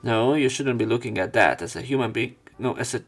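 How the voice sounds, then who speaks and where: A man reading visual novel text aloud as a let's play narrator, breaking off into halting, wry casual asides. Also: mid-range voice. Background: faint game music.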